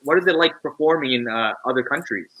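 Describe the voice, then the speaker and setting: A woman interviewer with a bright, forceful voice. She asks questions haltingly, pausing and repeating herself as she looks for the right word. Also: extremely low pitch, animated, low-quality recording. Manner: halting, searching for words. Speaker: interviewer host. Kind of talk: casual interview.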